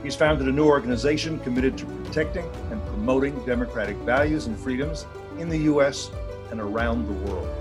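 A man hosting an interview, reading a formal introduction and then opening the talk in a measured way. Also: medium pitch. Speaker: host. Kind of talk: interview host, scripted intro then chat.

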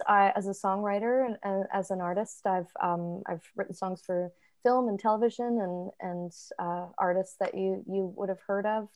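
Halting woman songwriter, dry sound. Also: medium pitch, a little mellow, no room sound. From Florida. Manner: halting, with many restarts and small laughs. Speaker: songwriter, guest. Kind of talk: podcast interview.

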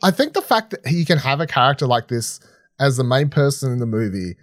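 A man's voice: medium pitch, lively intonation, fairly quick, no room sound, studio quality. A man co-hosting a podcast, giving a casual, rambling review full of filler phrases like "sort of". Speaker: podcast co-host reviewing films.

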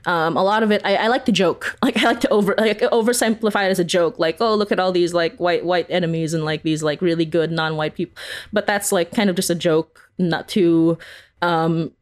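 A woman's voice: on the low side, brisk. A woman guest chatting casually in an interview, giggly and rambling, with very informal phrasing. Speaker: podcast creator, interview guest.

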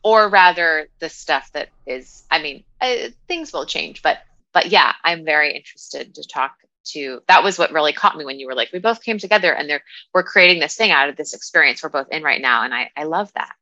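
A woman's voice: low voice, expressive, brisk, bright, forceful tone, no room sound, highs cut off. A woman in her thirties speaking as a coach in a casual interview, with an informal, wry, self-deprecating manner. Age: 30s. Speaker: guest, dating and relationship coach.

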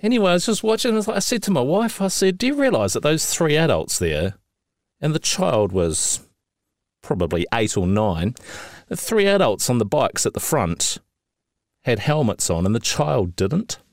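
A man's voice: mid-range voice, moderate pace, no room sound, clean studio sound. A middle-aged man from New Zealand with a New Zealand accent, chatting as a podcast co-host, swearing freely and telling stories with growing indignation. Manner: swears freely, animated storytelling with rising indignation. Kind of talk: casual chat.

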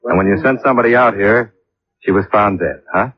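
Actor as low-voiced, persistent male investigator. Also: a little fast, lightly acted. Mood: probing. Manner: matter-of-fact, probing. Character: cool, persistent male investigator narrating his case. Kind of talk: 1950s radio detective drama, terse narration. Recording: dull old radio recording with music.

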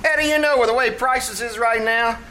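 An actor playing a breezy, wisecracking tavern manager with New slang and mangled grammar in a live old-time radio comedy. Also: male voice, very high voice, a little fast. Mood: scheming. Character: wisecracking, malapropism-prone male manager of a shabby tavern. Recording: live theater stage with background noise.

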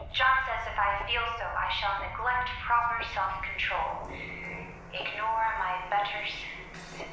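A woman's voice: mid-range voice, natural voice. A woman playing a nervous, weary wife narrating her journal in a radio play, brooding and resentful, with literary expressiveness. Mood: weary resentment. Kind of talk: dramatic first-person radio play narration. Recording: very echoey room, faint noise.

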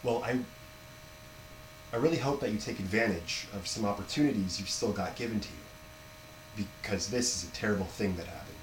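Audio drama casting: man as stern police interrogator, lowish voice, measured and admonishing, roomy echo with faint hum. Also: close to an everyday voice. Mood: grave, admonishing. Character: stern police interrogator addressing a murder suspect. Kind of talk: naturalistic audio drama dialogue. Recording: echoey room, faint hum.

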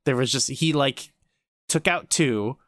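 A man's voice: mid-range voice, unhurried, fairly bright tone, dry recording, clean studio sound. A man co-hosting a podcast in casual, joking conversation. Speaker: podcast co-host.

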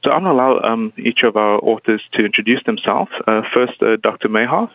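A man's voice: on the low side, brisk, dry recording. A man hosting a podcast interview in a polite, formal way with some fillers. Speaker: podcast host and editor. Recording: tinny phone-like line, dry.

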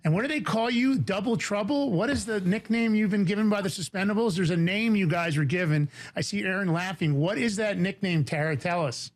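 A man from the Bronx with a New York accent, hosting a talk show in a fast, emphatic, indignant way. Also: high-pitched. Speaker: podcast host.

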